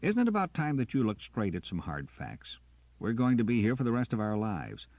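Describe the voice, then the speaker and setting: A man's voice: low voice, big pitch swings, brisk, husky, no room sound, lo-fi sound, heavily acted. A man voicing a weary, evasive male arms officer in an audiobook, speaking in an earnest, persuasive way.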